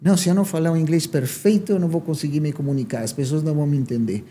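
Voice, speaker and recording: A man's voice: a little high, fairly even intonation, racing, warm tone. A man from Uruguay with a Uruguayan accent and a southern Brazilian lilt, telling his story as a guest in a casual conversation, with many tag questions. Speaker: guest, entrepreneur.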